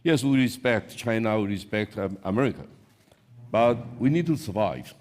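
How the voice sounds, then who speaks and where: A man's voice: fairly low pitch, expressive, moderate pace, darkish tone. A man from Taiwan with a Taiwanese accent, giving an unscripted conference talk as an analyst, in short emphatic non-native English phrases, recorded in an echoey hall. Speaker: industry analyst, panel speaker.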